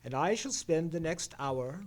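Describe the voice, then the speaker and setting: A Victorian gentleman sleuth in a radio play. His voice sits fairly high, and he speaks in a formal, precise, musing way with theatrical confidence. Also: animated, measured pace. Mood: musing, intrigued. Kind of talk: old-time radio mystery play, performed live.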